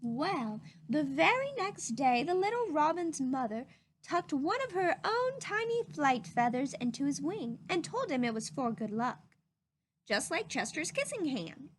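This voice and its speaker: High-pitched, animated woman reading to children. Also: measured pace, slight background noise. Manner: animated, simple explanatory asides to young listeners. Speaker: storybook reader for children. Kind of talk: expressive read-aloud narration for children.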